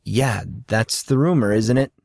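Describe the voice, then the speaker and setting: A man's voice: medium pitch, a little slow, creaky, a little mellow, no room sound. A man voicing a guarded, wry teenage boy with a dry, understated delivery in an audio story.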